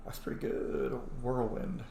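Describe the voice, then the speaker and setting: A man's voice: medium pitch, fairly even intonation, measured pace, vocal fry, dark tone, a little hiss or hum. A man streaming a video game, chatting casually and reacting as he thinks aloud. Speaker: gaming streamer. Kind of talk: casual gameplay commentary. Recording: roomy echo.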